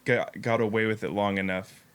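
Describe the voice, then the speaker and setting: A man's voice: fairly low pitch, steady tone, normal speed, smooth, no echo. A man co-hosting a podcast in casual banter. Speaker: podcast co-host.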